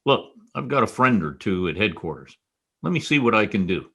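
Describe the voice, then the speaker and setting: A man's voice: lowish voice, very animated, brisk, a bit bright, husky, full character voice. A man playing a wry, hard-boiled, dogged private detective in an old-time radio style drama. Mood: dry, wry.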